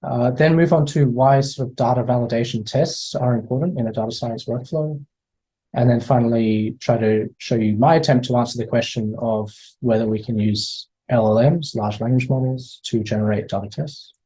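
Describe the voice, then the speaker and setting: A man's voice: medium pitch, normal speed, mellow, slightly rough, poor audio. Hesitant Australian man lecturing. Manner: hesitant, repeats words, many fillers. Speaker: guest speaker. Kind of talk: online lecture. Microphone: video call.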